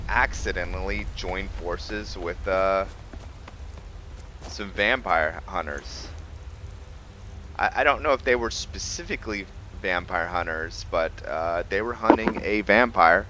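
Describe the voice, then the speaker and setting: A man giving casual roleplay gameplay commentary as a let's-play host, haltingly thinking aloud as he goes. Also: fairly low pitch, noisy background. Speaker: video game streamer, let's-play host.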